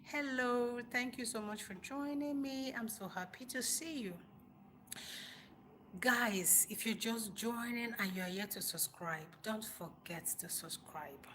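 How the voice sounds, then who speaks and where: Bright-voiced Nigerian woman, emphatic motivational livestreamer. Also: a little high, a little hiss or hum. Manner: emphatic, repeats phrases for stress, rhetorical questions. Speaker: livestream host, motivational speaker. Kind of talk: informal motivational talk to live viewers.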